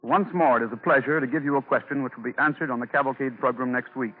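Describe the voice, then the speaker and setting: Brisk male presenter on tinny 1940s radio. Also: mid-range voice. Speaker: program presenter, a doctor.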